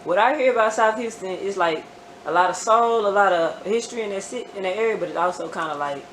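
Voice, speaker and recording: Woman host, mid-pitched voice, friendly interviewer, noisy room. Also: fast talker. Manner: upbeat, encouraging, frequent "okay" and "mm" backchannels. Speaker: host and channel owner. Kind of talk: casual interview.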